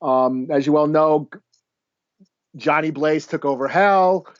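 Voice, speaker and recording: Higher-voiced man, dull lo-fi podcast sound. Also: unhurried. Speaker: podcast co-host reviewing comic books. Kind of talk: casual chat recap. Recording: dull, lo-fi audio.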